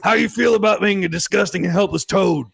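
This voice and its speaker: A man voicing a gloating evil anime sorcerer with wrestler bravado, taunting and theatrical in a gravelly, husky voice. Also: sits high, full character voice. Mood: sadistic taunting. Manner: taunting, theatrical, drawn-out menace.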